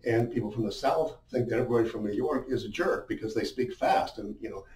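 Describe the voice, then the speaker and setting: A man's voice: medium pitch, fast, a little mellow. A middle-aged man giving an informal, conversational lesson as a sales coach, explaining things with frequent asides. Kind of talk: informal instructional talk at a whiteboard. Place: small indoor room with a whiteboard. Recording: roomy, muffled, distant-sounding echo.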